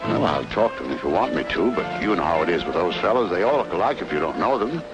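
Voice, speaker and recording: A courteous, placating gentleman in old film noir style. His voice is lowish, quick and lively in pitch. The vintage soundtrack carries music behind him. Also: low-quality recording. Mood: polite, placating.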